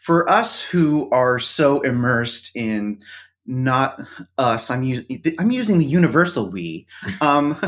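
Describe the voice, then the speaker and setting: A man who is a guest on a podcast, talking about books in a thoughtful, hesitant way with many pauses. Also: mid-range voice, very animated, fairly bright tone. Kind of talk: casual interview chat.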